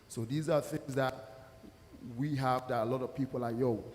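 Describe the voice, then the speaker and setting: A man's voice: medium pitch, steady tone, normal speed, a little mellow. A man from Ghana speaking as a panelist, telling stories earnestly. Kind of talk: panel discussion. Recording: long hall reverb, faint noise.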